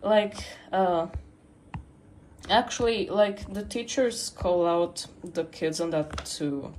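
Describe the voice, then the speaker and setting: A teenage girl rambling on a livestream, full of pauses, fillers and restarts. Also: on the low side, darkish tone, a little hiss or hum, rough recording. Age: teens. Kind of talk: rambling casual stream chat.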